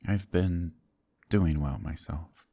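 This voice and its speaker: A man acting a courteous, brooding werewolf in human form, with a gravelly, husky voice and halting, old-fashioned speech in a dramatic ASMR roleplay. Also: low voice, dark tone, exaggerated voice. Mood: polite, awkward. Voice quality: gravelly, husky, breathy at times. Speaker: voice actor in an audio roleplay.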